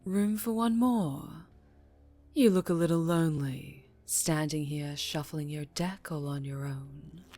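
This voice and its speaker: A woman playing a dangerously charming, teasing mafia boss in an ASMR roleplay, speaking deliberately and politely with veiled menace. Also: low-pitched, dark tone, soft background music. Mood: playful, inviting.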